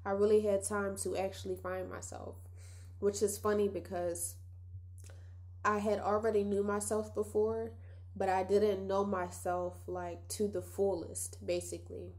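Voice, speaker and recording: A woman's voice: medium pitch, flat delivery, unhurried, a little mellow. A young woman with a nasal voice hosting a casual solo podcast, chatty and reflective, talking straight to her listeners. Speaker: podcast host.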